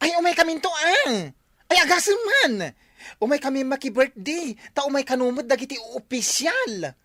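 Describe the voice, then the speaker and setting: A woman playing an excitable, easily impressed village gossip in a radio comedy drama, exclamatory and drawn-out, with an Ilocano accent. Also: high voice, dry recording, studio quality. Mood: eager.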